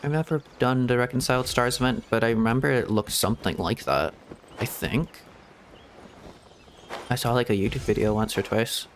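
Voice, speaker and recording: A man streaming a video game, thinking aloud in a rambling, reflective way with hedges and soft laughs. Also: medium pitch, normal speed, slight background noise. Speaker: VTuber streamer. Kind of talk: casual gameplay commentary.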